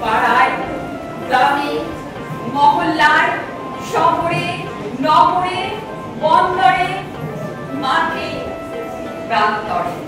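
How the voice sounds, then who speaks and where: A woman giving a dramatic poetry recitation in measured, declamatory phrases with pauses. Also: sits high, animated. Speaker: recitation performer. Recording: very echoey, distant mic, music behind.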